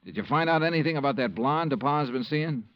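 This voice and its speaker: An American actor playing a brisk, wry hardboiled insurance investigator in a 1950s radio detective drama. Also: male voice, on the high side, expressive, no room sound, lightly acted. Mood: pressing. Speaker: lead actor and narrator. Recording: muffled vintage radio drama recording.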